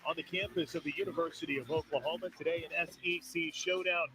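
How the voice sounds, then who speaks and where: A man's voice: on the high side, fairly even intonation, quick pace, noisy background. A man calling the game as a play-by-play announcer, upbeat and polished, reading out statistics. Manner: upbeat, stat-heavy, polished. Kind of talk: broadcast sports commentary.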